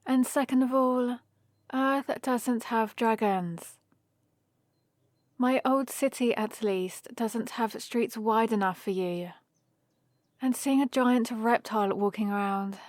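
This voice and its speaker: A woman's voice: a little high, takes it slowly, no echo. A woman playing a playful, teasing young heroine adventurer who chats and rambles fondly in a one-sided ASMR roleplay. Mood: explaining, coaxing. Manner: chatty, rambling, gently teasing.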